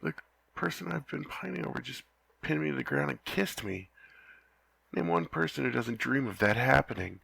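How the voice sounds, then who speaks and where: A young man playing a lovesick, flustered knight in an ASMR roleplay, talking softly and haltingly with frequent stammers. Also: medium pitch, lively intonation, vocal fry, husky, exaggerated voice. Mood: flustered, giddy. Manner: halting, stammering, soft-spoken.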